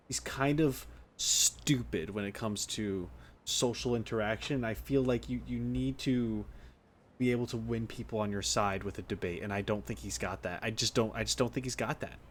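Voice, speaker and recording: A man giving casual, teasing and opinionated playthrough commentary as a game streamer. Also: medium pitch. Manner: opinionated, teasing, self-deprecating. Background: game music.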